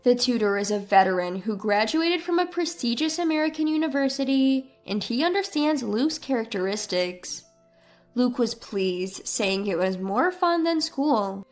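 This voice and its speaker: A woman's scripted story narration is delivered in the first person. Her voice sits fairly high, with soft music underneath. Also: expressive, normal speed.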